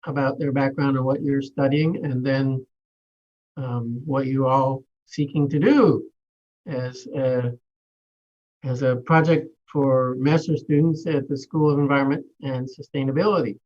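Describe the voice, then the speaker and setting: A man's voice: mid-range voice, a little mellow. A man on a commission, chatting in a meeting on a video call, who invites the students to present in an encouraging, rambling way. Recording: dull lo-fi call audio.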